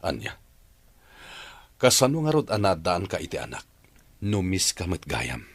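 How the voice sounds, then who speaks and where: Husky, low, remorseful older father. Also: wildly swooping pitch, normal speed, no room sound, full character voice. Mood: surprised, polite. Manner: emotional, dramatic delivery. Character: remorseful older father, employer seeking his lost son. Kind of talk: Ilocano radio drama acting.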